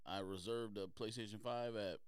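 A man's voice: fairly low pitch, fairly even intonation, moderate pace, smooth, no echo. A man co-hosting a casual podcast, bantering in a dry, offhand way. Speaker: podcast co-host.

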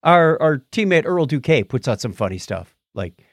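A man co-hosting a podcast in casual chat, informal and animated, often acting out his examples. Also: on the high side, normal speed, no room sound, studio quality. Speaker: podcast co-host.

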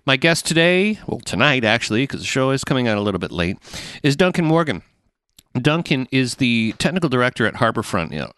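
A man's voice: mid-range voice, fairly bright tone, no room sound, clean studio sound. A middle-aged man from England hosting a podcast, chatting casually with many fillers. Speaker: podcast host.

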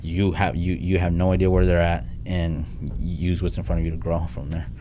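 A man's voice: low voice, level tone, moderate pace, warm tone, slight background noise. A young man talking as a real estate investor guest in a casual interview, blunt and plain-spoken, often restarting his sentences and dropping in "like" and "man.". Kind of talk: casual podcast interview talk.

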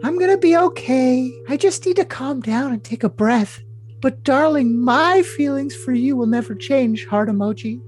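A man's voice: very high-pitched, moderate pace, a little mellow. A man playing a clingy, anxious, lovestruck young woman in an overly dramatic comic reading of texts, with a sugary, melodramatic delivery. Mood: affectionate. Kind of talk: overly dramatic comedic reading of text messages.

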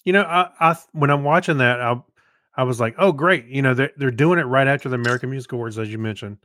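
A man co-hosting a podcast, chatting casually about shows in a halting way, often searching for words. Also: mid-range voice, a bit bright. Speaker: podcast co-host.

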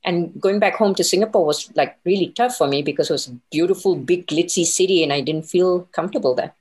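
A woman's voice: lowish voice, quick pace, darkish tone, slightly rough. A middle-aged woman being interviewed, telling her career story conversationally and voicing her own thoughts as little quoted asides. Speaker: interview guest, consultant and business owner.

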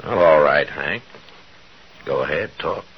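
An American actor playing a gruff, weary, commanding Old West marshal in 1950s radio drama style, terse and laconic. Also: male voice, very deep voice, a little slow, dull audio, noisy background. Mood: curt, firm. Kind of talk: 1950s radio western acting. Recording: vintage 1950s radio recording.

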